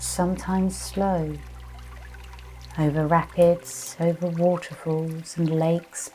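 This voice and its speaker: Soothing, mellow woman guiding meditation. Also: lowish voice, slight background noise, low-quality recording. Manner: gentle, soothing, unhurried hypnotic delivery with many pauses. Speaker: meditation guide. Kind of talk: guided meditation and visualization narration. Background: soft music with trickling, dripping water.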